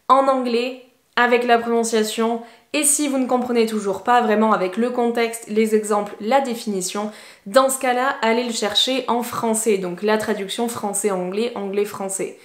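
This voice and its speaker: A woman's voice: a little high, steady tone, moderate pace. Chatty woman, echoey room. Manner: chatty, encouraging, many fillers. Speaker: English teacher. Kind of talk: casual tips to camera.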